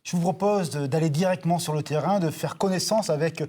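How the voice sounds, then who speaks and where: Quick, mellow-voiced man hosting. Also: fairly high pitch. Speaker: debate co-host. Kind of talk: broadcast debate hosting.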